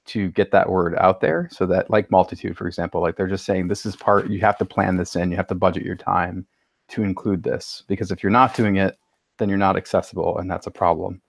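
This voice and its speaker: A man speaking in a measured, thoughtful way as a panelist in a casual podcast discussion. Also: sits low, fairly even intonation, vocal fry, dry recording.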